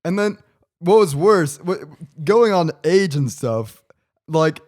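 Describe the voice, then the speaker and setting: A man's voice: on the high side, animated, darkish tone. A nineteen-year-old man co-hosting a podcast, chatting casually and telling joking stories. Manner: joking, storytelling with quick retorts. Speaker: podcast co-host.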